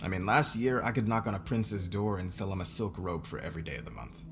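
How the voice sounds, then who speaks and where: A man's voice: deep voice, fast, noisy background. A man playing a curt, sarcastic, hot-tempered company boss in a fantasy ASMR roleplay. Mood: defensive, guarded.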